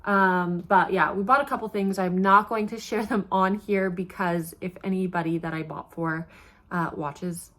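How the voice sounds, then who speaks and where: Congested young woman, chatty vlog. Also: mid-range voice. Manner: chatty, relaxed, many ums and likes. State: congested, stuffy nose. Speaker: pregnancy vlogger. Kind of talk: casual talk to camera.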